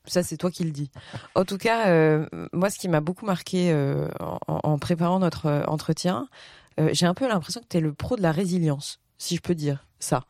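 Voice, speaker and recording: A woman's voice: on the low side, normal speed, darkish tone, no echo, studio quality. A woman around forty hosting a podcast, reading a scripted intro and then interviewing in a hesitant, filler-heavy way. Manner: hesitant, many fillers in conversation. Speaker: podcast host.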